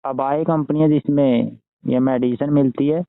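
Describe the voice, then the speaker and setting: Guy chatting on a phone call, clean smooth voice, dry but tinny line. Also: medium pitch, fairly even intonation, moderate pace, dry recording. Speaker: network marketer asking for advice. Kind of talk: casual phone conversation.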